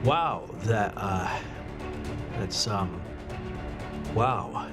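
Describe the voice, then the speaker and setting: An actor playing an evasive, hard-drinking senator in a video game, speaking in a hesitant, slippery politician's manner. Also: male voice, on the low side, lively intonation, extremely slow, over loud music. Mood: flustered. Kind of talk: video game cutscene acting.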